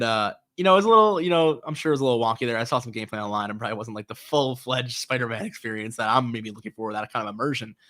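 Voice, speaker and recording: Young man, gaming show host, stuffy nasal cold voice, rapid rambling delivery, lots of filler words. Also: mid-range voice, fairly bright tone. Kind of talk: casual solo commentary.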